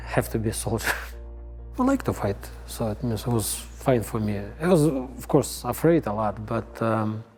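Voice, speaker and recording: A man speaking halting English in a documentary interview, often pausing to search for words. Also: mid-range voice, mellow. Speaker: interviewee, fighter.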